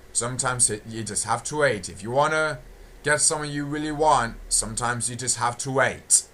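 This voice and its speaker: A young British man recording a casual audio log at home, circling back over the same points with plenty of fillers and false starts. His voice has a bright, tense edge, and a faint hiss sits under the recording. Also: mid-range voice. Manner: repetitive, meandering, many restarts and fillers. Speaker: channel host.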